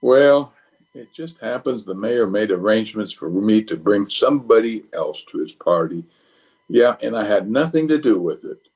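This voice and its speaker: A man's voice: fairly low pitch, expressive, normal speed, darkish tone, breathy edge. A man playing a flustered, blustering middle-aged bachelor in an old-time radio comedy, hemming and sheepish. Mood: sheepish, apologetic.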